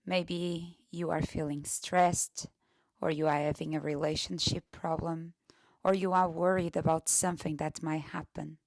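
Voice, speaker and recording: Slow woman with heavy creak, guiding meditation. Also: sits low, little pitch movement, dry recording. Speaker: meditation guide. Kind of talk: guided meditation.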